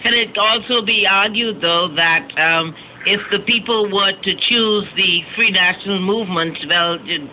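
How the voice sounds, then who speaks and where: A man working as a radio interviewer, putting political questions in a tentative, halting way. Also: high voice. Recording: noisy, narrow phone-like radio audio.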